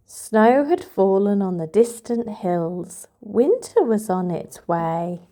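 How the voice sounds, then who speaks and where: A woman reading a picture book aloud to toddlers in an expressive, sing-song storytelling manner. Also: mid-range voice, takes it slowly. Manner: expressive, sing-song, addressing young children.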